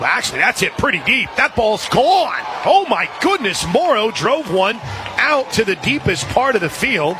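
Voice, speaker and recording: A man doing live radio play-by-play of softball, excitable, in short punchy calls from an outdoor stadium. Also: on the high side. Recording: live outdoor stadium broadcast, crowd noise. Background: loud crowd and ballpark ambience.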